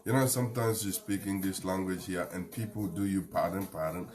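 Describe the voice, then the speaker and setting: A man's voice: low-pitched, steady tone, fairly quick, a little mellow. A man from Ghana with a Ghanaian accent, hosting a live show and talking in an earnest, rambling way while he waits for a guest. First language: a Ghanaian language. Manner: rambling, earnest, laughs between points. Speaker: host.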